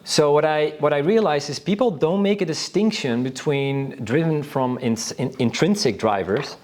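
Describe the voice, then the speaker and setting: A man's voice: a little high, normal speed, a little mellow. A man from Northern Europe speaking as a panelist in an informal conference talk, enthusiastic and rambling, often restarting his sentences.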